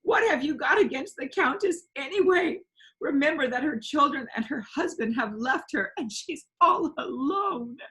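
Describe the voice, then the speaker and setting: A woman voicing a bold, tender-hearted little storybook girl who talks high and breaks into sobs. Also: normal speed, rough recording. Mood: sobbing. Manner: sobbing, excitable, high.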